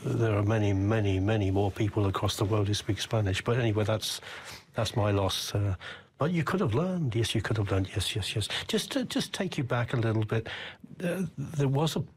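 A man's voice: on the low side, expressive, normal speed, slightly rough. An older man from the UK, interviewing a guest with rambling, reflective questions. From United Kingdom. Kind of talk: conversational interview.

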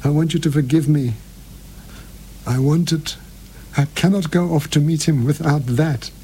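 A man's voice: a little high, normal speed, warm tone, husky, pitched above the performer's own voice. A man reading aloud as a frightened, dying elderly woman who confesses in broken, pleading phrases. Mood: fearful pleading.

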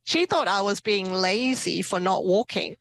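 A woman's voice: mid-range voice, animated, a little slow, vocal fry, a bit bright. A woman from Myanmar who moved to New Zealand, telling her story informally and light-heartedly as a podcast guest. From born in Myanmar, moved to New Zealand as a child. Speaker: podcast guest, property investor and entrepreneur. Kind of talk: casual personal storytelling in an interview.

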